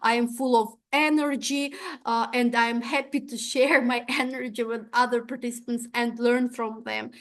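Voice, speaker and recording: High-voiced young woman from Tajikistan. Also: steady tone, moderate pace. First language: Russian. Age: young adult. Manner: explains step by step, frequent "uh" and "right?" checks. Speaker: presenter of an exchange program application. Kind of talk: instructional walkthrough presentation.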